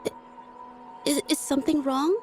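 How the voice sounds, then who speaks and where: A woman voicing a gentle, earnest young painter in a video game, speaking softly and hesitantly but with quiet resolve. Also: high voice, steady tone, faint music behind, natural voice. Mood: nervous but resolute. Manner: hesitant, soft-spoken, halting. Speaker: game character.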